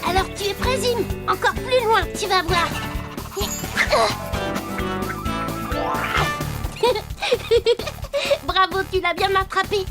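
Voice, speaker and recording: A very high, childlike voice of a kind little cartoon boy. He speaks simply and encouragingly, asking gentle questions, with music playing behind him. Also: expressive, very slow, pauses often, heavily acted. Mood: playful. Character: cheerful, caring young boy helping his robot friend. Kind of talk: French cartoon dub acting.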